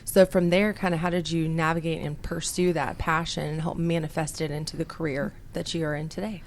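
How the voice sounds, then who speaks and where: A woman hosts a radio talk show from a studio. Her voice is on the low side, and she sounds upbeat and chatty. A faint hiss sits behind her. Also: little pitch movement, brisk. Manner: upbeat, chatty, laughs easily.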